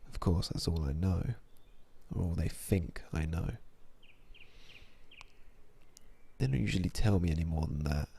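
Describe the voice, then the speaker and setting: Man acting as a deep, gravelly, brooding sniper. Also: fairly quick, dark tone, full character voice. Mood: weary, bitter. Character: weary, cynical vampire-hunting male sniper, an organization's assassin. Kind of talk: ASMR audio drama monologue.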